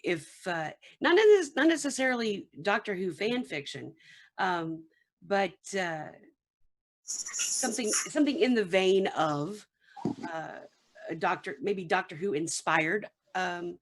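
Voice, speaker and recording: Casting: woman, mid-range pitch, casual online panelist who feels her way through sentences. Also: lively intonation, takes it slowly. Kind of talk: casual chat. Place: remote group video call.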